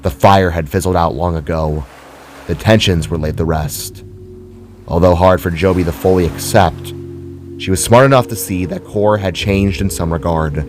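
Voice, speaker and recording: A man's voice: sits low, normal speed, a little mellow, soft background music. A man reading scripted dramatic fiction as a narrator.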